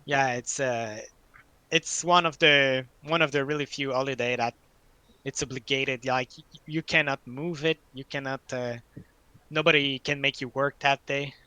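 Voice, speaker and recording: A man from Quebec, a native French speaker with a Quebec French accent, chatting casually and haltingly, repeating words as he searches for phrasing. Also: medium pitch, fairly even intonation, bright, forceful tone, clean tone, no room sound. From French-speaking Quebec, Canada. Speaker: study group participant. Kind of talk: casual group chat.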